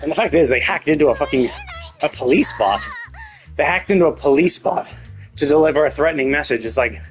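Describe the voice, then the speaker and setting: A man's voice: on the high side, animated, brisk. A man livestreaming a game, giving casual, rambling commentary as he thinks aloud and swears freely. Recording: game music playing behind the voice. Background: video game music.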